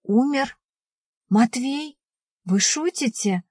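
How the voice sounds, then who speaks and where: A woman's voice: high voice, lively intonation, takes it slowly, darkish tone, husky, no room sound, clean studio sound, pitched above the performer's own voice, heavily acted. A woman playing a shaken, anxious wife, the heroine of an audiobook novel, with a tense manner. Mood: disbelieving.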